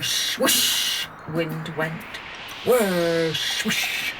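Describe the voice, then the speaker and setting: A woman narrating a scripted children's story in an expressive, dramatic storytelling manner. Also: fairly low pitch, poor audio.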